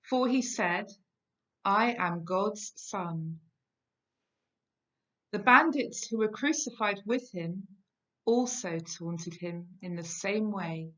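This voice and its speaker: A middle-aged Englishwoman reads scripture aloud with solemn care. Her pace is slow and deliberate, at a medium pitch. The sound is slightly dull, as from a webcam in a home kitchen. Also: expressive, sharp tone. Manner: measured, deliberate phrasing. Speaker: lay scripture reader. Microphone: webcam at about arm's length.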